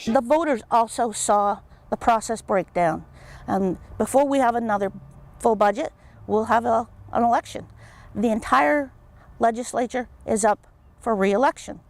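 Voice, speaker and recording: A woman giving halting, spontaneous answers in a news interview. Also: fairly high pitch.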